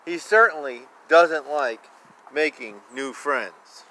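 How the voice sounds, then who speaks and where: A man reading children's picture books aloud in a playful, expressive way, with casual asides and repeated phrases. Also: a little high, takes it slowly. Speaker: children's book reader. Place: outdoors. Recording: noisy outdoor ambience. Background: outdoor ambience, animal and vehicle sounds.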